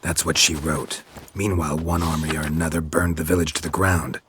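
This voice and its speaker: An actor playing a weary, stoic monster hunter in a video game, gruff and gravelly, with terse deadpan delivery. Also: male voice, fairly quick, slight background noise. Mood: dry, guarded. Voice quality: gravelly, rasping low voice. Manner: terse, deadpan, clipped sentences.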